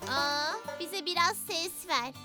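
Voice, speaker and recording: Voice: very high voice, slow pace, over loud music. An actor voicing a sweet, bossy little girl in a preschool cartoon dub, speaking in a cheerful, insistent singsong. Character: sweet, bossy little girl who loves farm animals. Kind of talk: dubbed preschool cartoon acting.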